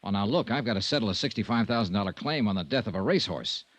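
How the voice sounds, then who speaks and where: An actor playing a dogged, wry insurance investigator in old radio noir, direct and skeptical. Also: male voice, lowish voice, expressive, fast, dry recording. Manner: hard-boiled, direct, skeptical. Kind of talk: 1950s radio noir acting and narration.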